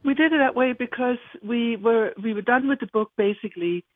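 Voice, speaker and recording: A woman's voice: fairly high pitch, a little fast, dry recording. A middle-aged Danish woman talks as an interview guest, cheerful and chatty, and she often restarts her sentences. Her voice comes down a thin, phone-like line that sounds very dry. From Denmark. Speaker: guest, children's book author.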